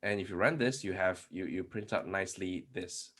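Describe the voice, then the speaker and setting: A man with a low voice explains programming concepts to a conference audience. His tone is slightly husky, and his explanations loop back on themselves with fillers and restarts. Keyboard typing is heard now and then behind him. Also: normal speed, fairly bright tone. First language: not Polish. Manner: explanatory, many restarts and fillers, asks rhetorical questions. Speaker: conference speaker. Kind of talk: live-coding tech talk.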